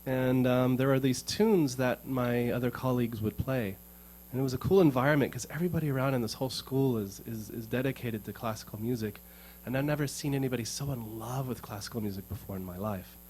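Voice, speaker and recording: A man's voice: medium pitch, a little fast, hardly any pauses, a little mellow, slight background noise, poor audio. A man from the United States telling a casual, rambling story between songs as a guitarist, earnest and prone to repeating words as he thinks. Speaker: guitarist performing for an audience. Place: music showroom.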